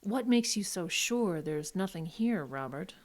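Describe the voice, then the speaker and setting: A woman's voice: fairly low pitch, expressive, unhurried. An actress playing a languid, seductive undead woman in a radio horror drama, coy, teasing and slyly menacing. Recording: loud music under the voice.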